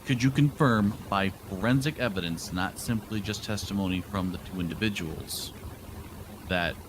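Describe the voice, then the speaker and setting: A man playing a measured, authoritative judge in an online courtroom roleplay. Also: lowish voice, close to an everyday voice. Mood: firm, measured. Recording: clearly noisy game voice chat.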